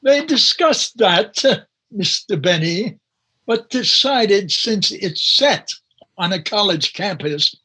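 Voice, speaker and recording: A man's voice: high voice, expressive, pushed and bright, husky, full character voice. A man playing a formal, polite, dryly dismissive butler in old-time radio comedy. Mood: dryly snooty. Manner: formal, deliberate, dryly snooty.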